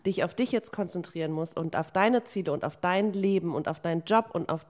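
A woman's voice: lowish voice, fairly quick, a little mellow. Frank, advising woman co-host. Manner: frank, advising, blunt phrasing. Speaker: podcast co-host. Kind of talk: casual supportive conversation.

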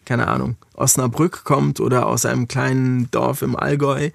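A man's voice: medium pitch, steady tone, normal speed, dry recording, clean studio sound. A man from Frankfurt, a podcast guest, talking reflectively with many hesitations and fillers. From Frankfurt, Germany. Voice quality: gravelly, husky. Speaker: interview guest. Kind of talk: conversational podcast interview.

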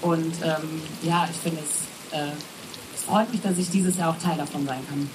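A woman's voice: low voice, fairly even intonation, moderate pace, background noise. A woman from a festival booking team, talking chattily on a live panel with many fillers and tag questions.

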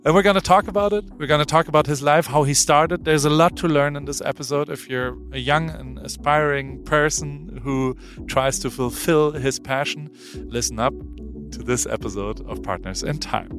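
A man from Germany hosting an interview podcast, chatty and lively, often restarting his sentences. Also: on the high side, normal speed, faint music behind. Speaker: podcast host. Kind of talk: casual interview.

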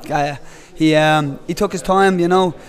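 A male coach speaks calmly after a fight, pausing with fillers as he gathers his thoughts. His voice sits a little high for a man. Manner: calm, hesitant, many fillers. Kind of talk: post-fight interview.

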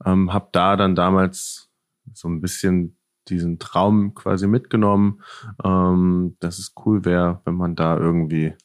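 A man's voice: deep voice, level tone, a little slow, no room sound, studio quality. A young man from northern Germany, a founder answering interview questions casually and reflectively, often searching for words. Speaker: podcast guest, founder.

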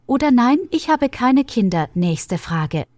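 A woman presenting a language lesson, asking practice questions and giving model answers in a measured, instructive way. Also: fairly high pitch, sing-song, darkish tone, no echo. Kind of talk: clear scripted lesson delivery.

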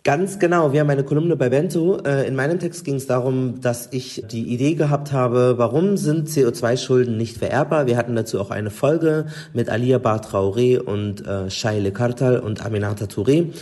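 A man's voice: mid-range voice, moderate pace, few pauses, a little mellow. A man hosting a talk podcast, introducing the episode in a loose, chatty way with fillers. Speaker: podcast host.

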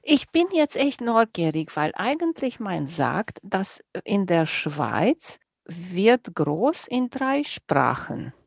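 Woman podcast host, animated pitch, gravelly edge, dry close sound. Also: slow pace, no room sound. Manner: curious, friendly questioning. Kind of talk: casual interview chat.

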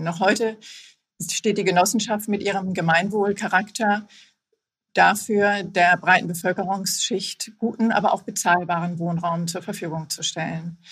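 Husky-voiced woman in her fifties. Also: medium pitch. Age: 50s. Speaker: housing cooperative executive, guest. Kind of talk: podcast interview.

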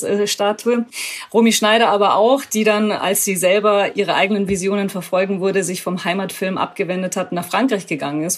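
Eine Frau aus München, Musikerin, die als Gast nachdenklich im Podcast erzählt. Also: female voice, medium pitch. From Munich, Germany. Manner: thoughtful, searching for words. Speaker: guest, musician and curator. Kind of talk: casual podcast interview.

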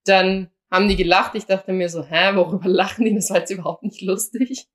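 A young woman chats casually as a podcast co-host. She talks in a lively, anecdotal way, often slipping into quoted back-and-forth. Her voice sits at an ordinary middle pitch for a woman. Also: brisk. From Austria. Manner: chatty, anecdotal, acts out quoted dialogue.

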